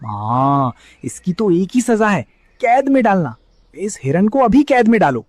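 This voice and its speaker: A man's voice: sits high, very animated, measured pace, slight background noise. A man voicing a threatening, officious wolf guard in a children's cartoon fable. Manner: accusing, threatening. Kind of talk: animated children's fable acting.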